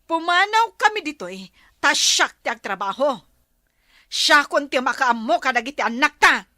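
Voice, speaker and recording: Woman playing fed up wife in a comedy drama, high lively voice, scolding, close dry sound. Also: normal speed, no echo. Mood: firm, fed up. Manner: firm, scolding. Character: fed-up, resolute wife and mother.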